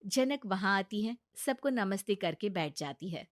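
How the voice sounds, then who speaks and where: A woman's voice: mid-range voice, expressive, unhurried, no echo, clean studio sound. A woman narrator reading a scripted Hindi story in a storytelling style.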